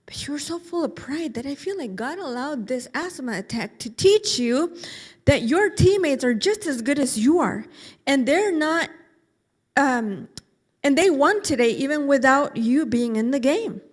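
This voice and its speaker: A caring mother gently talking her son through a lesson, voiced by a woman reading a story. Her voice sits fairly high and stays patient. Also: expressive, unhurried. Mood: gentle. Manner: gently teaching.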